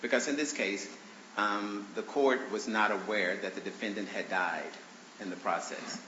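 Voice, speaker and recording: A man with a low voice, congested and a little deeper than usual from allergies, argues his case in a courtroom. He talks haltingly and earnestly, with a forceful edge, through fillers and restarts. The sound is roomy and dull, picked up by a courtroom stand microphone. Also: steady tone. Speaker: plaintiff arguing his own motions. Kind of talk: courtroom argument. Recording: roomy, dull courtroom recording with faint hiss.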